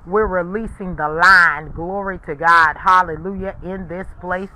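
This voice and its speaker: Male preacher on a prayer line, high-pitched and bright, fervent, forceful, repetitive praise and rebukes. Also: steady tone, a little slow, low-quality recording.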